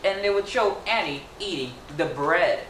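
A teenage boy whose first language is Indonesian, telling a story in a speech to a camera across a small room, animated and playful. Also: high voice, moderate pace. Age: teens. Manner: animated, gesturing, playful asides. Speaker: student storyteller. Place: small indoor room with wallpaper. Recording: echoey room, mic at a distance, faint noise.

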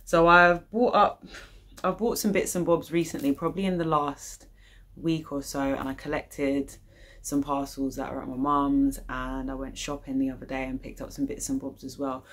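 A woman's voice: extremely low pitch, little pitch movement, moderate pace, fairly bright tone. A young adult woman with a British accent, chatting casually and informally as she hosts her vlog. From United Kingdom. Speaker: YouTube channel host. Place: living room, indoors.

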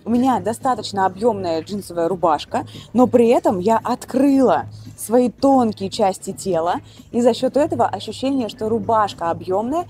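A woman's voice: mid-range voice, lively intonation, normal speed, mellow. A woman stylist explaining an outfit and giving practical fashion advice to viewers. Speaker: stylist presenting her outfit.

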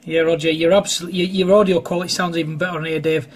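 A man's voice: fairly high pitch, little pitch movement, fast, a little hiss or hum. A man chatting casually as an amateur radio operator, recorded indoors at his radio desk. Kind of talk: casual two-way radio chat.

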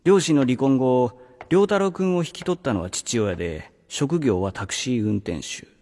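An actor playing a condescending middle-aged male vice-principal in a radio drama, halting and officious, in a remembered-voice effect. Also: medium pitch, animated, a little mellow. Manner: halting, officious, with drawled hesitations. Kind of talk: Japanese radio drama acting. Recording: long reverb, music underneath.

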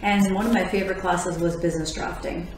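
A woman in her forties from Miami, a lawyer and podcast host, answering interview questions in a relaxed way with easy laughs. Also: lowish voice, fast, slight background noise. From Miami, Florida. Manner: laughs easily, fillers between thoughts. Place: office lounge with large windows. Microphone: tabletop condenser mic. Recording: very echoey room, faint ambience.

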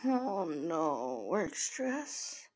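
A woman playing a tender, sleepy girlfriend who comforts her partner in a gentle, halting, hushed ASMR roleplay, often slipping into a whisper. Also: lowish voice, sing-song, exaggerated voice. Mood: sympathetic, reassuring.

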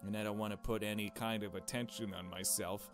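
Low-voiced man reading as a nervous, shaken mystery heroine. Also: steady tone, a little fast, faint music behind, natural voice. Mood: uneasy. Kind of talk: visual novel story read aloud.

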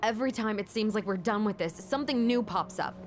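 A woman's voice: medium pitch, fairly quick, natural voice. An actress playing a guarded, reluctant young American woman worn down by grief in a video game. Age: young adult. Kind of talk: video game cutscene acting. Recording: game dialogue with music behind.

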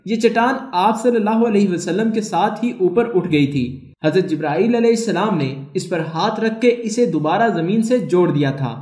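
A man with a high, light voice reads scripted documentary narration for his channel. His delivery is formal and measured, with an even, steady read. The room around him rings with noticeable echo. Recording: echoey room.

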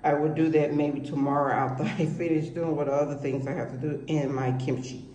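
A woman rambling warmly through her cooking vlog, talking straight to her viewers from behind the camera at a home kitchen table. The room bounces her voice back noticeably, and a faint hiss sits underneath. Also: low-pitched, steady tone, fairly quick, echoey room, rough recording. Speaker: cooking vlogger. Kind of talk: casual cooking vlog chat.

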